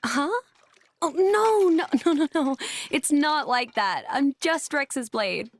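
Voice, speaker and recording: A woman playing a gentle, self-doubting young magical companion in a video game, soft-spoken, modest and hesitant. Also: sits high, expressive, slow, full character voice. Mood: flustered, modest. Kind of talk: video game cutscene acting. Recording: music behind the voice. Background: loud instrumental music with strings.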